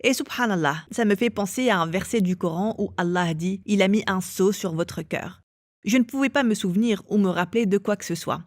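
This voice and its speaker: A woman telling her own story as an interviewee, in a calm and measured way. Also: medium pitch, dry recording, studio quality. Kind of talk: personal testimony.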